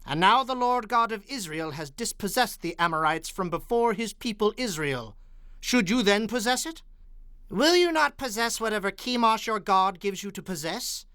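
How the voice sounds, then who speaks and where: A man's voice: high-pitched, animated, normal speed, bright, forceful tone, smooth, dry recording, studio quality. A man playing a commanding Israelite war leader and anguished father in a dramatized audio Bible, speaking in formal, emphatic declamation. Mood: indignant, forceful.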